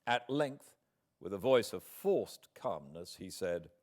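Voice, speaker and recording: Slow, expressive British male narrator. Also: low voice. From Britain. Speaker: audiobook narrator. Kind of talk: dramatic literary narration.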